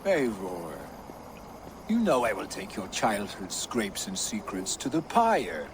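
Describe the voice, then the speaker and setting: An actor playing a boastful, mocking Norse jarl in a video game. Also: male voice, lowish voice, huge pitch swings, slow pace, full character voice. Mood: teasing. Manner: mocking, swaggering. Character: boastful, taunting male Norse jarl, bawdy braggart. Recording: noisy outdoor ambience behind.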